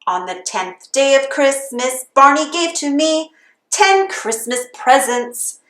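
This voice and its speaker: A woman with a high voice delivers a children's read-aloud in the manner of a Christmas carol. She takes it slowly and steadily. The room adds a clear echo around her voice. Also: expressive, a bit bright. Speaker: storybook reader.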